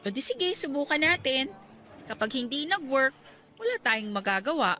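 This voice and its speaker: An actress playing a candid, cautious young schoolteacher being courted in a radio drama, chatting in a Filipino accent and mixing in English. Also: female voice, sits high, sing-song, normal speed, sharp tone, faint music behind, exaggerated voice. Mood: cautious, frank. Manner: conversational, mixing Tagalog and English.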